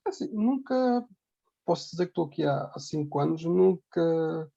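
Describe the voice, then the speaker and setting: Male interview guest, European Portuguese accent, fairly high and mellow voice, conversational and repetitive, on slightly muffled lo-fi audio. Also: animated, moderate pace, vocal fry. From Ribatejo, Portugal. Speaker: interview guest, emigrant hospital worker. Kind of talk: casual conversational testimony.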